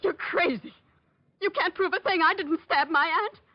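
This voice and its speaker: A scheming niece from an old radio whodunit, indignant and theatrical. Her voice sits very high and shrill. The recording is dry and dull, like a vintage broadcast. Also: normal speed, dry recording. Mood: indignant, cornered. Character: scheming murderous niece, indignant and evasive when cornered. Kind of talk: 1940s radio detective drama acting.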